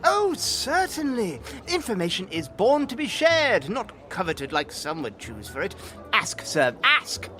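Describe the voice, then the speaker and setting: Man playing a fussy, high-pitched, nervous bookish clerk. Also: very animated, unhurried, pushed and bright, exaggerated voice. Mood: eager to help. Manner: stammering, fussy, formal and apologetic. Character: nervous, bookish male clerk and records researcher. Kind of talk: acted audio drama dialogue. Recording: music under the voice.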